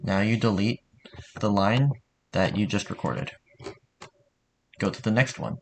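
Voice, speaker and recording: A man's voice: on the low side, measured pace. A man giving a casual spoken tutorial in an informal, offhand way. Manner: informal, offhand, mildly sweary. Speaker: tutorial narrator.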